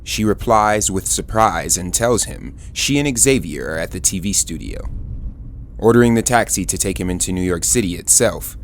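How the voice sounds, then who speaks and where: A man's voice: low voice, lively intonation, normal speed, slight background noise. A man narrating a scripted audio drama, describing the action as a storyteller.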